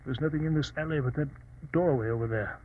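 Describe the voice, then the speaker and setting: Devoted detective's companion, played by a man: anxious, blustering, slightly high, vintage radio sound with light hiss. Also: quick pace, lightly acted. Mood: worried, protesting. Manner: blustering, hesitant. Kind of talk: old-time radio drama acting. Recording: dull, noisy old radio recording.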